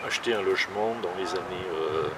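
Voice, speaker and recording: Halting man in his sixties, outdoors. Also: low voice, distant mic, heavy background noise. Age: 60s. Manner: halting, with many fillers. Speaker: resident. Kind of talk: recorded testimony.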